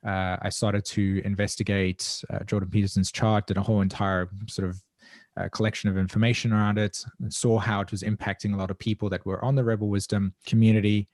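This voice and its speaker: Low-voiced South African man, dry close sound. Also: level tone, fairly quick, darkish tone, dry recording. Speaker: guest, evolutionary astrologer. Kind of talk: reflective conversational interview.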